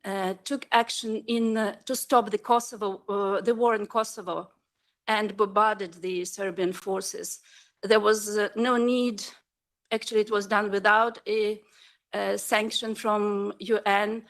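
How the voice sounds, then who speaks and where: A woman asks a question from the floor at a conference. Her pace is slow and her delivery hesitant, as she searches for words. Her voice sits at an ordinary female pitch. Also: steady tone. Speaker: audience member asking questions.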